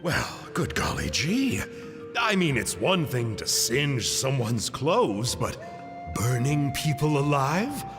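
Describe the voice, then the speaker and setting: A man's voice: mid-range voice, sing-song, slow pace, in a large hall, music in the background. A man voicing an eager outsider in a video game, genteel and theatrical, full of exaggerated wonder. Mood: mock shocked.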